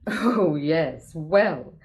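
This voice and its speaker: A woman's voice: sits low, animated, drawn-out words, darkish tone, full character voice. An actress playing a frank, sardonic woman questioned about her dead sister in a radio drama. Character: blunt worldly woman, sister of murdered woman.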